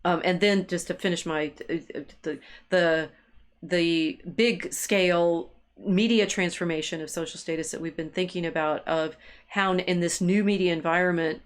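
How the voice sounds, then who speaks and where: A woman with a slightly low voice talks through ideas as a co-host of a discussion. Her delivery is halting, circling back over the same words while she hunts for the right phrase. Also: fairly even intonation. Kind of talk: intellectual discussion, thinking aloud.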